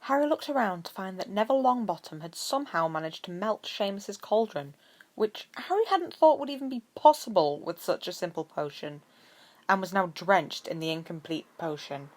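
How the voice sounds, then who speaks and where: A woman's voice: mid-range voice, expressive, moderate pace. A woman reading scripted story narration as an amateur audiobook narrator.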